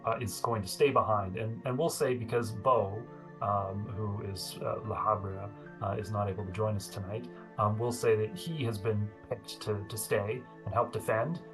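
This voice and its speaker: Chatty male game master, low voice. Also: fairly even intonation, moderate pace, music in the background, rough recording. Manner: chatty, laughs often, many fillers. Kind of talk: narrating a tabletop game.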